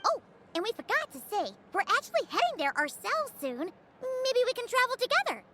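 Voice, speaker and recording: A woman's voice: extremely high pitch, sing-song, moderate pace, heavy background noise. A woman voicing a perky, childlike little companion creature in a video game, chatty and curious.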